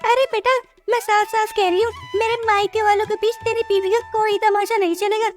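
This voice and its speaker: A woman playing a bossy, exasperated middle-aged mother-in-law, nagging and commanding in an animated moral story. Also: very high-pitched, brisk. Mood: stern. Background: music.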